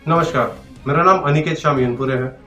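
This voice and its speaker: A man's voice: a little high, brisk, bright, forceful tone, faint music behind. Young man pleading in an echoey phone video. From Maharashtra, India. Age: 20s. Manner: pleading, repeatedly addressing "sir". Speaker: stranded sailor appealing for help. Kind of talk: self-recorded video appeal. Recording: echoey, dull self-made phone video.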